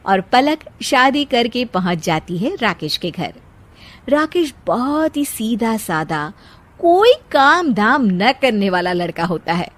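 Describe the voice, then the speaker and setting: A woman storyteller giving scripted narration of a moral story. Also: a little high.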